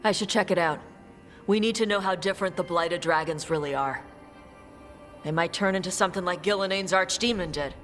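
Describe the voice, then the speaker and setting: Stoic warrior in long reverb. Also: male voice, high voice, fairly quick, fairly bright tone. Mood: resolute. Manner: terse, reflective, plain-spoken. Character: stoic, dutiful male dragon-hunting warrior. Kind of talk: video game voice acting. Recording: long reverb, faint music behind.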